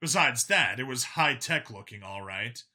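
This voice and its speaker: A man's voice: mid-range voice, lively intonation, moderate pace, bright, forceful tone. A man reading a science fiction story as an audiobook narrator, in a dramatic scripted style.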